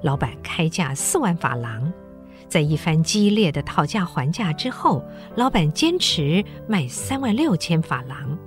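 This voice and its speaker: Expressive woman narrator, low and lively. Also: a little slow, soft background music. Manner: expressive, dramatic storytelling. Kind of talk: scripted literary story narration.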